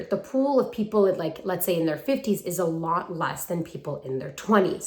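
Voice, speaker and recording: A woman in her twenties speaks to her viewers in a chatty, emphatic style, with a slightly low voice for a woman. She sounds like a casual vlogger giving advice. The phone recording has a clear roomy echo. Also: darkish tone. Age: 20s. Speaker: vlogger and acting coach. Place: room at parents' home, brick wall. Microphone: handheld phone. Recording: roomy echo from a hard-walled room.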